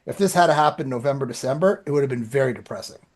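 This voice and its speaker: A man's voice: medium pitch, expressive, fast. A man telling anecdotes in a rambling, informal way as a podcast co-host. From Canada. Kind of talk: casual chat, anecdotes.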